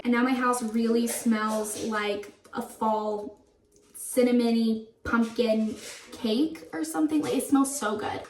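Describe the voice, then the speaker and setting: A young woman vlogging casually at home, her voice a little raspy and froggy, rambling and relaxed with lots of "like.". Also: on the high side, a little hiss or hum. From Florida. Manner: relaxed, rambling, uses "like" often. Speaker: vlogger, stay-at-home mom. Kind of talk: casual chatty vlog narration.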